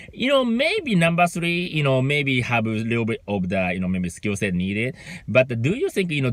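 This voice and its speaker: A man's voice: medium pitch, fairly bright tone, no room sound. A man from Japan with a Japanese accent, giving an unscripted motivational talk as a business mentor, full of fillers and "right?" checks. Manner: halting, heavy fillers, frequent "right?" checks.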